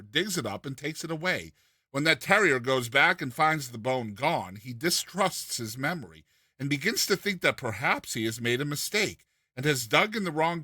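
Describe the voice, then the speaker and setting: A man's voice: mid-range voice, bright, forceful tone, no room sound, clean studio sound. A man reading a classic humorous story aloud as a dramatized narration, with a theatrical, mock-earnest delivery. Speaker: reader and narrator of a short story.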